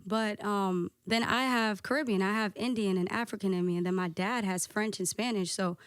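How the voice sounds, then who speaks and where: A woman DJ guesting on a podcast, talking casually and candidly with a soft voice, peppering her talk with "like" and swearing. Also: mid-range voice, steady tone, moderate pace, clear, resonant tone, no room sound. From United States. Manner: candid, lots of "like" and profanity.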